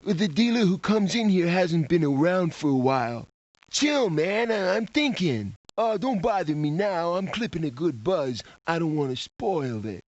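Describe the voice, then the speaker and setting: High-voiced, swooping stoner slacker. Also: male voice, big pitch swings, a little slow, darkish tone, muffled recording. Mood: spaced-out. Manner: drawling slacker slang with "dude" and "man". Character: spaced-out slacker stoner barfly, male, mellow and flaky. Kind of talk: video game character acting.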